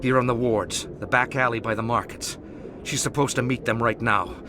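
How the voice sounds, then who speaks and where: A man's voice: medium pitch, steady tone, moderate pace, noisy background, lightly acted. An actor voicing a cowardly male crook in a video game, panicky and pleading, stammering as he begs. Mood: nervous. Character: cowardly male warehouse worker and crime boss. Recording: game audio with loud score behind.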